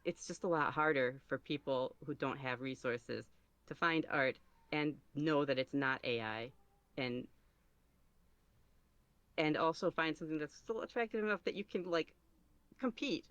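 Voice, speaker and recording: A man giving casual podcast interview answers as a guest, halting and thinking aloud as he goes. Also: fairly high pitch, fairly bright tone, no room sound, lo-fi sound. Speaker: podcast guest, ASMR roleplay creator.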